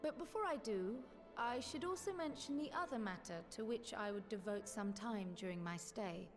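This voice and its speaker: A woman voicing an earnest, resolute young female scholar in a fantasy video game, speaking in a formal, measured, articulate way. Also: mid-range voice, animated, over music, natural voice.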